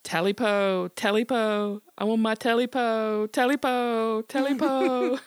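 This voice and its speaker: A woman's voice: fairly high pitch, steady tone, a little slow, clean tone, no room sound, studio quality, voice pushed up, natural voice. A woman voicing a chanting clawed creature. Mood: menacing. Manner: chanting, demanding. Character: short stubby clawed creature, menacing.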